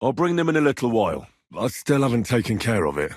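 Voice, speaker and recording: A young British man as a medieval servant turned adventurer in a video game. His voice is husky and middle-pitched, with lively, swinging intonation. He speaks earnestly and plainly, in a dry, close recording. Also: fast, no room sound. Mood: reassuring. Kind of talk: scripted game character dialogue.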